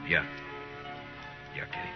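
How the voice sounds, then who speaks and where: A man playing a stern, world-weary frontier marshal, terse and halting, in 1950s radio western style. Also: music in the background, close to an everyday voice. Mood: wistful, guarded. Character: stern, weary, laconic US marshal of the old West. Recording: muffled old radio recording.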